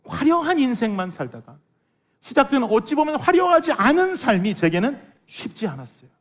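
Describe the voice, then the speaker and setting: A middle-aged man with a hoarse, worn voice, preaching an earnest, emphatic sermon as a pastor and putting rhetorical questions to his congregation. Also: extremely high pitch, big pitch swings, moderate pace. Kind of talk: Sunday sermon with personal testimony.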